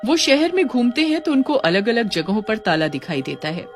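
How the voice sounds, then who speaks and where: A woman's voice: on the high side, big pitch swings, normal speed, soft background music. A woman reading scripted narration for a cartoon story.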